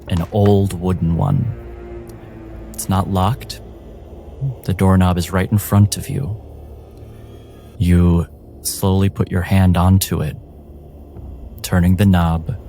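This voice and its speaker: Slow, deep-voiced male game master. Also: mellow. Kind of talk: slow suspenseful scripted-style narration of a tabletop game.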